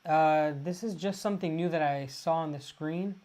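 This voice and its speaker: A man from Los Angeles, chatting casually to viewers on a livestream and pausing often with fillers. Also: a little high, darkish tone. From Los Angeles, California. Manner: halting, restarts often with many "uh" fillers. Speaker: livestream host.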